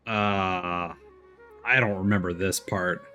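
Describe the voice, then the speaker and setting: A man's voice: low-pitched, fairly even intonation, slow pace, pushed and bright, highs cut off. A man streaming a video game, casually commenting and reading dialogue aloud. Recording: game music behind the voice.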